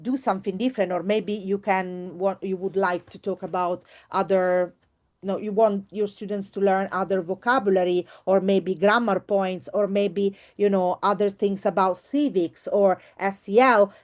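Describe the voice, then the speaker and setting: A woman's voice: medium pitch, fairly even intonation, moderate pace, darkish tone. A woman teacher giving an informal online workshop, speaking expressively and effusively and repeating words for emphasis. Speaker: teacher and trainer presenting.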